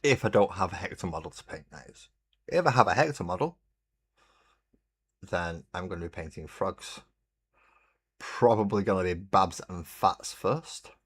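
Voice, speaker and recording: Deep-voiced man, rambling casual chat, painting on a livestream. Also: expressive, normal speed, pauses often, fairly bright tone, husky. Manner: rambling, chatty, greets chat viewers. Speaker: hobby livestream host. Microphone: desk mic on a webcam stream.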